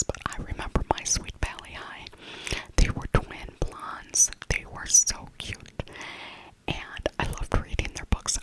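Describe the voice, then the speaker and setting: Whispering American woman, ASMR style. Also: low-quality recording. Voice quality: soft breathy whisper. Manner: nostalgic, chatty, repeats phrases. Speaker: ASMR video creator. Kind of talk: whispered ASMR shopping voiceover, casual rambling.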